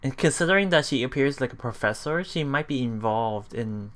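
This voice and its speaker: Bright-voiced man, let's play host. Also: medium pitch, animated, brisk. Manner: reacts aloud, repeats phrases. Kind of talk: reading a visual novel aloud with casual commentary. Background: game music.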